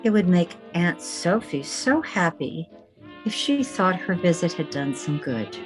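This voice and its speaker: A woman's voice: lowish voice, big pitch swings, a little slow, soft background music, low-quality recording. A woman playing a wry, romantic leading lady in an old-time radio newspaper comedy. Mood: tender, coy.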